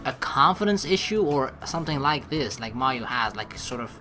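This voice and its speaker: Animated young woman with a bright voice. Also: rumbling low, moderate pace, soft background music. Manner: rambling, conversational, peppered with "you know". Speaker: let's play streamer. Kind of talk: casual game commentary mixed with reading dialogue aloud.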